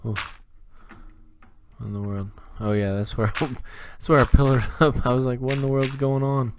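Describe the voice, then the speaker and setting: A man with a slightly hoarse throat giving easygoing, casual commentary on his own gameplay and talking himself through each task. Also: mid-range voice, steady tone, normal speed, slight background noise. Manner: easygoing, talks to himself while playing. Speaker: gaming channel host.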